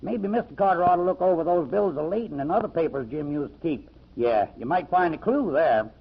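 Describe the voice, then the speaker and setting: Radio mystery storekeeper: folksy, talkative, high husky voice, muffled vintage sound. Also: male voice, expressive, fairly quick, exaggerated voice. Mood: eager, coaxing. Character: folksy talkative small-town male storekeeper, eager and excitable. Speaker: actor. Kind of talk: old-time radio mystery acting. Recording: muffled old radio recording.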